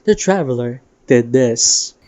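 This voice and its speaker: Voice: mid-range voice, expressive, slow pace, darkish tone, highs cut off, slight background noise. A young adult narrating a personal, sentimental video essay about a game in an earnest way. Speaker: video creator and host. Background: guitar music.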